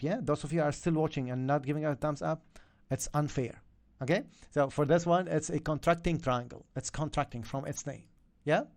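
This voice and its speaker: A man whose first language is Arabic, teaching a live-stream trading lesson with lots of energy and checking in with viewers as he goes. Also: on the high side. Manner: energetic, repeats "okay?" and "yeah?" to viewers. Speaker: trading coach.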